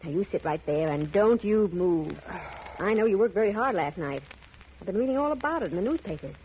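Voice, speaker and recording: A woman's voice: medium pitch, expressive, brisk, close to an everyday voice. A woman playing a doting gangster's sweetheart in a 1950s radio drama, cooing, fussing and slangy. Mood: cooing, coaxing. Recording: muffled, noisy old radio recording.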